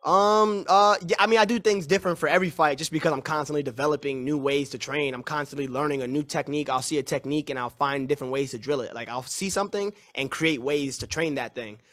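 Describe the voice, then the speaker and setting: A man in his twenties, a fighter answering press questions on a call, confident and repeating himself in fast run-on answers. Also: fairly high pitch, fairly bright tone. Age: 20s. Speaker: mixed martial arts fighter.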